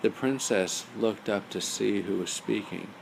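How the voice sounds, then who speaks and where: Low, creaky-voiced man telling a bedtime story. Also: noisy background. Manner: pauses to chat simply with young listeners.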